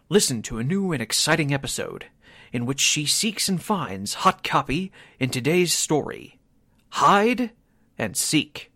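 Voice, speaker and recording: A man's voice: on the high side, bright, forceful tone, no room sound. A man announcing a radio drama in scripted 1940s style, with dramatic, emphatic phrasing.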